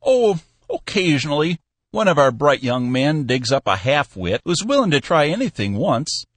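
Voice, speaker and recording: A man voicing a blustery, excitable old company boss for an audiobook, exclamatory and emphatic. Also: fairly high pitch, normal speed, dry recording, voice pushed up. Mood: wry. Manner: exclamatory, emphatic, folksy oaths. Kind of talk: audiobook character voice.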